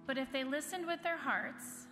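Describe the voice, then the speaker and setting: Gentle little robin, high and soft woman reading. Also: normal speed, close to an everyday voice. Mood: questioning. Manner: soft-spoken, earnest. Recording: reverberant hall, music behind the voice.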